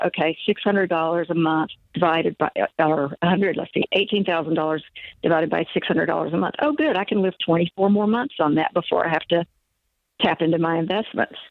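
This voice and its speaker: A woman's voice: on the low side, no room sound. A woman in her sixties calling in to an advice show, talking hesitantly with many fillers and restarts. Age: 60s. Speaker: caller. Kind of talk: phone call to a radio advice show. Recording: tinny phone line.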